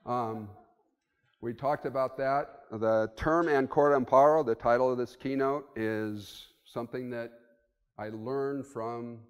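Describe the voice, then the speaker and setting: A man's voice: medium pitch, fairly even intonation, unhurried, clean tone. A man in his sixties giving a conversational keynote at a podium in a conference room, thinking aloud with fillers. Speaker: keynote speaker. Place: hotel conference room with audience. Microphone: podium mic. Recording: long reverb of a conference hall.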